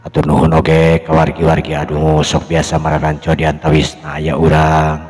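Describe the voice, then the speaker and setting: Guy telling folk tales, super deep voice, music playing behind him. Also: completely flat delivery, normal speed, darkish tone. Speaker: storyteller and channel host. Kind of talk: traditional storytelling with greetings to listeners. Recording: long echoing reverb, music behind.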